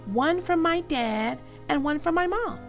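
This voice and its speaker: A self-satisfied little schoolgirl read by a woman boasts in a prim, fairly high voice. It is a lively storybook delivery over music. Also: a little slow. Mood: proud.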